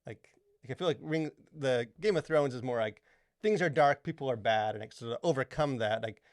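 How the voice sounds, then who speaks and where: A man's voice: medium pitch, lively intonation, brisk, no room sound, studio quality. A man co-hosting a podcast, talking casually in a rambling way, full of fillers and restarts. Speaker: podcast co-host. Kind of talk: casual chat.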